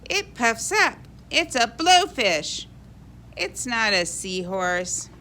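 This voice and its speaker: A woman reading a children's picture book aloud in an expressive, animated way for young kids. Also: on the high side, slow, slight background noise. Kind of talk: expressive read-aloud storybook narration.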